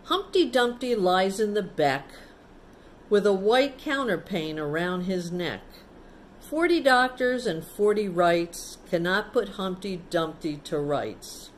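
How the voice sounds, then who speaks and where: A cheeky young storybook squirrel, played by a woman reader, chants silly riddle rhymes in a teasing sing-song. The pace is slow and deliberate, with faint noise behind the voice. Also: mid-range voice, lively intonation. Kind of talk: storybook character reading.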